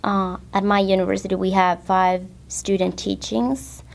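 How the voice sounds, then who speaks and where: A young Finnish woman with a gravelly, creaky edge to her voice, answering interview questions as a visiting student teacher. She speaks in careful, unhurried English with a Finnish accent and keeps her replies short and plain. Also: medium pitch, little pitch movement. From southern Finland. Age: young adult.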